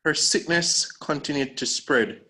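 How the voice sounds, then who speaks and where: An actor at a table read playing a brooding, guilt-ridden man in a thriller drama, measured and introspective. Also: on the high side, sharp tone, full character voice.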